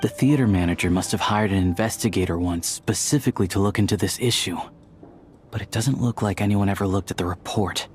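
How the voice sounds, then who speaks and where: An actor playing a calm, thoughtful male investigator in a video game cutscene. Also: lowish voice, a little fast, natural voice. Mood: composed. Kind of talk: anime-style video game cutscene acting.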